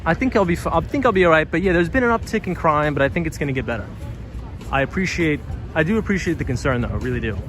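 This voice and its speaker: A man's voice: on the high side, animated, fast. A man hosting a live stream outdoors on a windy city street at night, chatting casually and reading out viewer comments, his voice a little tired. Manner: friendly, reads out viewer comments. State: voice slightly tired. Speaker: livestream host reading chat. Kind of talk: casual live-stream chat while walking. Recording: street stream with clear music behind.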